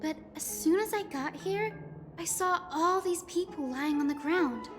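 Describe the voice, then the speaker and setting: A very high, polite young healer girl from a video game. Her earnest voice rings in long hall reverb, with loud music behind it. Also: a little slow. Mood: earnest, concerned. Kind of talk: scripted video game voice acting.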